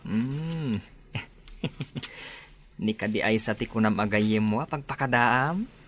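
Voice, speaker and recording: A man's voice: mid-range voice, slow, fairly bright tone, slight background noise. A man plays a young village friend in an Ilocano radio drama. His mid-range voice has an Ilocano accent and an easygoing, teasing manner.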